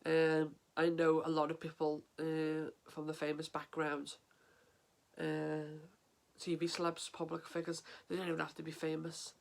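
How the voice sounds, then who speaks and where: An English man speaks to camera in a casual vlog, his voice stuffy and sniffling from a head cold. He talks in an earnest, halting way, full of ums and restarts, and his pitch stays level and flat throughout. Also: creaky. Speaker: mental health vlogger.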